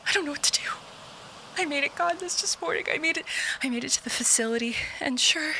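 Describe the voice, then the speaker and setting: An actress playing a frightened, stranded explorer in an audio drama, speaking in a shaken, halting way. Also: female voice, sits high, pushed and bright, slight background noise. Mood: shaken, horrified. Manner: shaken, halting, breathy outbursts and whispers. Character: frightened, stranded woman explorer recording a desperate log.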